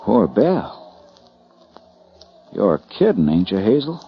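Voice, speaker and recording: A man's voice: on the low side, sing-song, slow pace, heavy background noise, full character voice. An actor playing a folksy, earnest male rodeo cowboy, homespun and hesitant in 1940s radio style. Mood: worried. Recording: dull, muffled 1940s radio recording.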